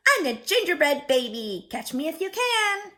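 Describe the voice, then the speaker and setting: A woman's voice: very high voice, big pitch swings, moderate pace, bright, forceful tone, pitched above the performer's own voice, full character voice. A woman voicing a cocky, gleeful little gingerbread baby from a children's storybook, taunting in a boastful singsong.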